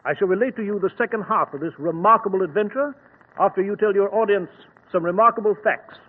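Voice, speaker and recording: An actor playing a bluff, loyal doctor in a 1940s radio drama, with a blustery manner. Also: male voice, high voice, fast talker. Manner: blustery, indignant, hearty. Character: bluff, loyal doctor sidekick, middle-aged man. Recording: tinny old radio with faint hiss.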